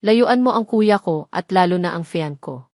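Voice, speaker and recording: A woman's voice: on the low side, expressive, darkish tone, no room sound, clean studio sound, natural voice. Woman narrator as a cold, formal young rival. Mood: cold, threatening. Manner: formal, cold, slow and deliberate.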